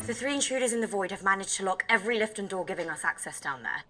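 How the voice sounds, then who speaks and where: An actress playing a grave, guarded company aide, clipped and serious in a dramatic style. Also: female voice, mid-range voice, animated, rapid-fire, over loud music, lightly acted. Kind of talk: naturalistic dramatic screen acting.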